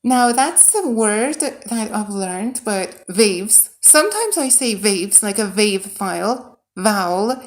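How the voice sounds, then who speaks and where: A woman's voice: medium pitch, sing-song, slow pace, few pauses. A woman from Iran, a native Persian speaker with a Persian accent, chatting casually to viewers and thinking aloud as she codes on a livestream. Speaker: livestreamer and game modder. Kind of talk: casual livestream chat while coding.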